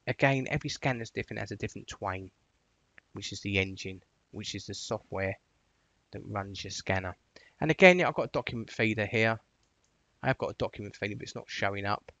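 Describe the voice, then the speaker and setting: A man with a fairly low voice talks a viewer through software steps. He is chatty and instructive, leaning on the first words of each phrase. The recording is dry and a little dull, as if on a close computer mic. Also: normal speed, long pauses, no echo. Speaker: software tutorial presenter. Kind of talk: informal instructional screencast narration.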